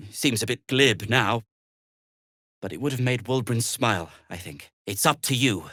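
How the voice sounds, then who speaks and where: An actor playing an anxious, devoted gnome inventor in a video game, speaking in a hesitant, politely formal way. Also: male voice, medium pitch, lively intonation, normal speed, sharp tone, no room sound, studio quality. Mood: grieving, defeated. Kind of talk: video game character acting.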